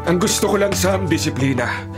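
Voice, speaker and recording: A man's voice: sits high, animated, normal speed. An actor playing a strict middle-aged mayor and protective father, speaking firmly and with authority in a radio drama. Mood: stern. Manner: firm, authoritative. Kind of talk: Filipino radio drama acting. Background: background music.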